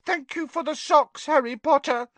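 A man voicing a small, nervous male house elf with a high, squeaky voice. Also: moderate pace, a bit bright, dry recording. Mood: miserable. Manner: speaks of himself in third person.

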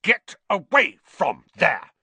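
A man's voice: fairly high pitch, big pitch swings, moderate pace, sharp tone, breathy edge, no room sound, pitched above the performer's own voice, heavily acted. A man voicing a pompous, officious teenage prefect and older brother, scolding in a bossy, indignant way for a storybook. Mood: shocked, scolding.

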